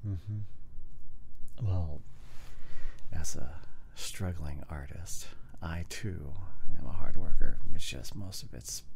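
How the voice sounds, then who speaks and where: Sleepy, deep, gravelly man. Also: takes it slowly, pauses often, a little hiss or hum. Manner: drowsy, soft-spoken, often slipping into whisper. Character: sleepy, lazy, affectionate boyfriend in bed. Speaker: ASMR roleplay performer. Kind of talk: unscripted intimate boyfriend roleplay ASMR. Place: recorded in bed.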